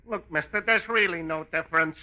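An actor playing a harried, whiny American store clerk in an old radio comedy, on a muffled, noisy recording. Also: male voice, fairly high pitch, a little fast, close to an everyday voice. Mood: exasperated. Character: harried, exasperated male store clerk, sarcastic under forced politeness. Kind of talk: 1940s-50s American radio comedy acting.

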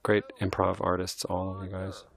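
A middle-aged man, a film director, giving an admiring, halting film commentary. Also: sits low, completely flat delivery, gravelly. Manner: thoughtful, admiring, halting restarts.

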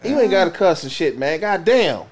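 A man's voice: high-pitched, sing-song, normal speed. A man co-hosting a homemade radio show in loose, profane banter, calling friends on the air. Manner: loose, swearing, laughing, calls friends on air.

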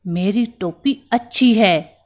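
Woman reading a chill storybook king, steady and plain, slow. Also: mid-range voice. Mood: calm. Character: calm grown man, a king.

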